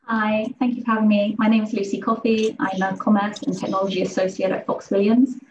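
A woman's voice: mid-range voice, level tone, a little fast, darkish tone. A woman lawyer from the UK introducing herself steadily on a video call panel. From United Kingdom. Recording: dull video call audio, faint noise.

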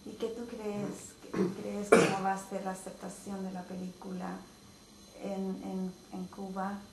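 A woman's voice: medium pitch, monotone, unhurried, a little mellow. A woman interviewing a film director with casual, slightly hesitant questions. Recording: roomy with faint hiss.

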